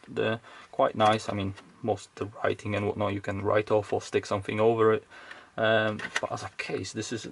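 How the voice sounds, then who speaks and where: A man's voice: on the low side, vocal fry. A man, probably middle-aged, talking casually and hesitantly, rambling as he thinks aloud through an unscripted hands-on demonstration. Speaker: video creator, electronics hobbyist. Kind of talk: casual unscripted teardown narration. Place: indoors at a home workbench. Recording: faint background noise with clicks.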